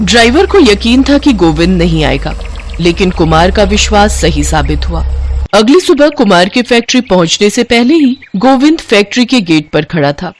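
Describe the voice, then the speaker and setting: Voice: medium pitch, very animated, normal speed. A narrator telling a moral story in a scripted storytelling style.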